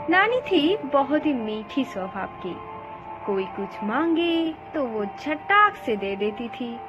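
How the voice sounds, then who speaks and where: A woman's voice: sits high, lo-fi sound. A woman storyteller narrating a family ghost story in a dramatic style. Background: music.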